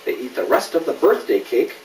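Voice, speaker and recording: A middle-aged man reading a children's story aloud in an animated way, with conversational questions to a young listener. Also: medium pitch, a little fast. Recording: roomy, distant home recording with noise.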